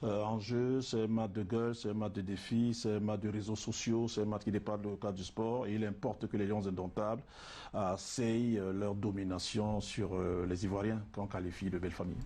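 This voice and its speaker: A man from Cameroon giving football predictions in relaxed studio talk, hesitant and full of fillers and asides. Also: fairly low pitch, normal speed. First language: a Cameroonian language. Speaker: football pundit.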